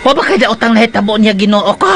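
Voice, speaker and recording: A man's voice: high voice, very fast, creaky. A man playing a jittery, scatterbrained household helper with a Cebuano accent, exclaiming and muttering to himself in comic radio drama style. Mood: panicky, fretful. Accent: Cebuano Filipino. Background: background music.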